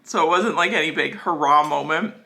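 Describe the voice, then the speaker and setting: A young adult woman chatting casually as a book vlogger, gushing and animated, with dramatic emphasis and plenty of "like" as filler. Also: sits low, brisk, vocal fry. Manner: frequent filler "like". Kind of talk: casual reading vlog chat.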